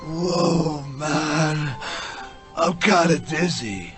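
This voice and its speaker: A man's voice: fairly high pitch, expressive, drawn-out words, loud music behind the voice. An actor playing a dazed, slangy street squeegee man in an audio drama, with a woozy slacker manner. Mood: shaken.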